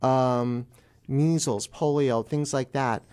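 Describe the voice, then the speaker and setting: A man's voice: mid-range voice, steady tone, slow pace, a little mellow, clean tone. A man being interviewed live on radio as a guest attorney, speaking carefully and qualifying his opinions.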